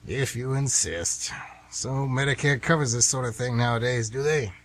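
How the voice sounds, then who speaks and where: A man's voice: medium pitch, normal speed, lightly acted. An actor playing a folksy, grumbling retired man who rambles and gets defensive, in a radio drama. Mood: grumbling, chatty. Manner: rambling, folksy, plain-spoken.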